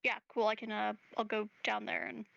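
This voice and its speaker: A woman's voice: mid-range voice, monotone, normal speed, creaky, a bit bright, dry recording, lo-fi sound. A woman chatting casually as a player in a tabletop roleplaying game, hesitating as she weighs her choices.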